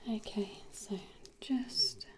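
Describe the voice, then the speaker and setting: A woman whispering gently and unhurriedly in an ASMR role-play, as if conducting a measuring appointment. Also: mid-range voice, very animated, drawn-out words, slight background noise.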